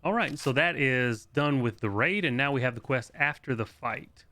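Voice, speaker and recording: A man's voice: mid-range voice, normal speed, sharp tone. A man hosting a video game guide, giving informal, matter-of-fact walkthrough commentary. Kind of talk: casual walkthrough commentary, reading quest dialogue aloud.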